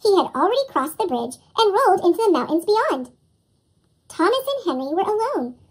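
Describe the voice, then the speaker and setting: A woman's voice: big pitch swings, a little fast. A woman reading a children's picture book expressively, her voice sped up with a chipmunk effect. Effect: sped-up chipmunk pitch shift. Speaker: storybook reader. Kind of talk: expressive children's read-aloud narration.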